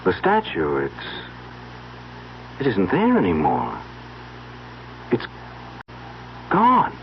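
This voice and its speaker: An actor playing an uneasy, suspicious young husband in an earnest, questioning old-time radio mystery style. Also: male voice, sits low, wildly swooping pitch, drawn-out words, gravelly, exaggerated voice. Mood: shocked, incredulous. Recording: dull, noisy old radio recording.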